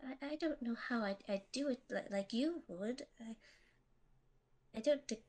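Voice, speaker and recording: A woman's voice: a little high, moderate pace, mellow, natural voice. A woman voicing a timid young boy demigod, the nervous little brother in a fantasy drama, meek, hesitant and stammering. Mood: anxious, unsure. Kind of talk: character acting in fantasy audio drama.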